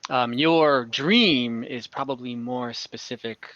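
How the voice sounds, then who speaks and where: A game master improvising tabletop game lore, speaking haltingly and searching for words. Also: medium pitch, a bit bright, no echo, highs cut off. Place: online group call.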